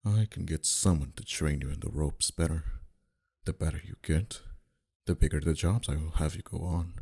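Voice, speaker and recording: A man playing a smug, flirtatious and menacing mafia boss in an intimate ASMR roleplay, dominant and teasing, sometimes dropping to a breathy whisper. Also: fairly even intonation, normal speed, full character voice. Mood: persuasive, sly. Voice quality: very deep, dark, husky.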